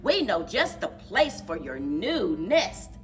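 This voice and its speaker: Woman playing friendly, animated storybook frogs. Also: medium pitch, slow, distant mic, faint music behind. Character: three friendly green frogs inviting a guest.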